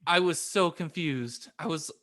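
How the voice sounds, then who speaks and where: Bright-voiced man, slightly high. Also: a little slow. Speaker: podcast panelist, pizza chef. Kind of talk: casual chat.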